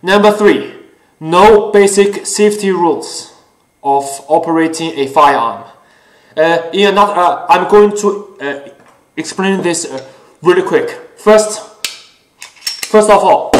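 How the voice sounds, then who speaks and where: A man whose first language is Chinese hosts his own talk show, speaking earnestly with many pauses and fillers. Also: sits high, expressive. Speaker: host. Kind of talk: casual solo talk show. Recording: echoey room.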